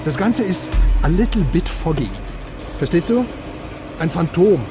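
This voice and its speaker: An excitable amateur sleuth in a radio crime play. His voice sits high and swings widely, chatty and wry, full of exclamations, with prominent music behind him. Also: a little slow. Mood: wry, intrigued. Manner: animated, wry, colloquial exclamations and questions. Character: excitable, chatty East Berlin investigator sidekick, male. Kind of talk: radio crime drama dialogue. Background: music, moving car.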